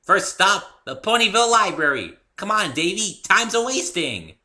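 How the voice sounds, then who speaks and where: A giddy young cartoon mare acted out by a man, pitched way up and very bright. She gushes and exclaims in a bubbly, hyperactive manner, like a storybook sidekick. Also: very animated, moderate pace, heavily acted. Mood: giddy, thrilled.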